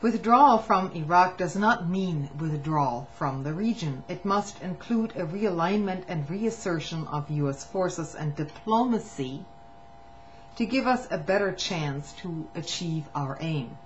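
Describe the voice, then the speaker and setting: A woman's voice: lowish voice, animated. Woman reading aloud over muffled hum. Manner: measured reading with occasional stumbles and restarts. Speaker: reader of a public document. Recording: muffled lo-fi recording with faint hum.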